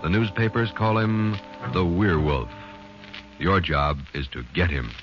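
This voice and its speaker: A grave, deliberate 1940s radio announcement in an extremely deep voice. The broadcast sound is dull and lo-fi, with loud dramatic music underneath. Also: male voice. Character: solemn male radio show announcer.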